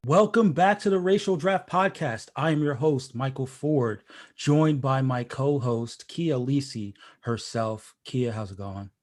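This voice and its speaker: A male podcast host who jokes his way through a casual chat, often restarting his sentences as he rambles on. His voice sits at a middling pitch. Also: measured pace. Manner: rambling, joking, many restarts.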